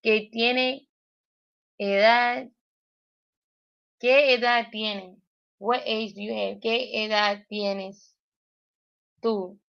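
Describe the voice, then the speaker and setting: Slow, hesitant woman learner. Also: a little high, fairly even intonation, gravelly, clear, resonant tone. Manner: hesitant, self-correcting, lots of ums. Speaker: Spanish learner streaming a daily challenge. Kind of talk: thinking aloud while working through a language app. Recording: dull lo-fi stream audio.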